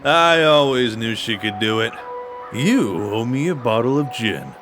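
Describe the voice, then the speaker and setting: A man's voice: medium pitch, big pitch swings, measured pace. Wry male fighting companion, dry delivery, audio drama, mid-range voice, music underneath. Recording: music bed behind the voice.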